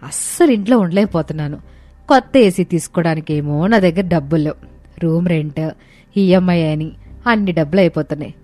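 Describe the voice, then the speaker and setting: A woman reading the dialogue of a family story in a bright, explaining tone, with soft music behind. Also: lowish voice, a little fast, no room sound. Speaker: narrator voicing characters.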